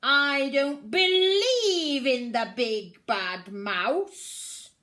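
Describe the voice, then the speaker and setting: A woman voicing a young female monster cub, bold and excitable, with shrill, squealing exclamations as she reads a picture book aloud. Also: very slow, heavily acted. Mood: defiant.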